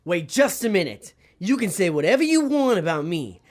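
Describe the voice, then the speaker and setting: Woman as animated, dim but loyal underling. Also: lowish voice, normal speed. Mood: indignant. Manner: simple, unpolished grammar, excitable. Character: dim, eager, loyal, good-hearted underling from Hell. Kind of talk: audio drama acting.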